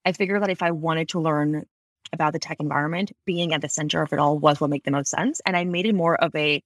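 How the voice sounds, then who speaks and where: Fast-talking woman from Ecuador. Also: low-pitched, fairly even intonation, no room sound, clean studio sound. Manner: fast, articulate, frequent self-corrections. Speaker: podcast guest. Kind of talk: conversational interview. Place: home office, Bay Area.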